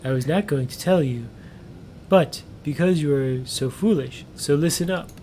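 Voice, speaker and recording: A man voicing a smug, teasing captive in a story, slow and condescending, with noise behind him. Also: mellow, natural voice. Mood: mocking, condescending. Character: smug, teasing male captive with strange spells, slow and mocking. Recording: clear background noise with low rumble.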